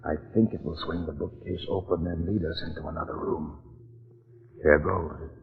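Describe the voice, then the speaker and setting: An actor playing a brisk, bold police investigator, urgent and commanding, in an old-time radio mystery. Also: male voice, very deep voice, fairly even intonation, a little hiss or hum. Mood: tense. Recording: dull old radio recording.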